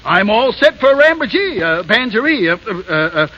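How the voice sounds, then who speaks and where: High-voiced flustered old dwarf. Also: male voice, very animated, normal speed, lo-fi sound, very noisy. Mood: befuddled, cheerful. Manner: stammering, tongue-tied, swapping word sounds. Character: kindly flustered old dwarf leader who muddles his words. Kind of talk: 1930s radio fairy-tale acting. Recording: old 1930s radio broadcast, loud music behind.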